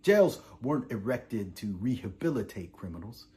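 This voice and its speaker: A weary, defiant imprisoned revolutionary. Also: male voice, on the low side, big pitch swings. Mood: bitter, rising. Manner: deliberate oratorical delivery swinging from hushed to impassioned. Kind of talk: dramatic theatrical monologue, letter read aloud.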